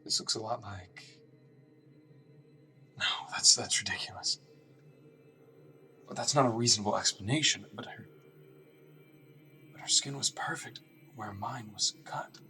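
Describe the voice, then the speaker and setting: An actor in a radio drama playing an anxious, obsessive nineteenth-century doctor who mutters haltingly to himself. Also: male voice, fairly low pitch, bright, forceful tone. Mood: anxious disbelief. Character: agitated, obsessive 19th-century German male doctor. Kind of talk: radio drama acting, monologue and journal narration. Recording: distant miking, music underneath.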